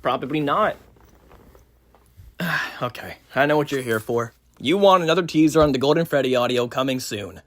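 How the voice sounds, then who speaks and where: A man's voice: mid-range voice, animated, moderate pace. A man chatting casually and informally to his listeners as an audio channel host. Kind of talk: casual outro chat to listeners.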